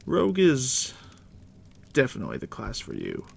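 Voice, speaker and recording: A man speaking softly and unhurriedly as a game master in an ASMR roleplay, explaining things straight to the listener in a hushed, gravelly voice. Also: mid-range voice. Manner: unhurried, conversational, addressing the listener directly. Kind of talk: soft-spoken ASMR roleplay, explaining tabletop game rules. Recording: steady background noise, fire crackle. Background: crackling fire.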